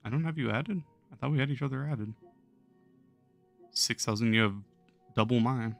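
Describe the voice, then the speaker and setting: Hoarse young man streaming, gravelly voice. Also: mid-range voice, normal speed, soft background music. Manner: laid-back, chuckles while talking to chat. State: hoarse, losing his voice. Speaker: livestreamer. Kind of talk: casual gaming livestream chat.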